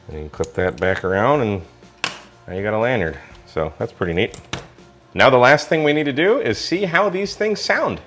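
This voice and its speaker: A man's voice: mid-range voice, animated, moderate pace, fairly bright tone, faint music behind. A man talking informally through a product review, explaining things step by step in a casual way.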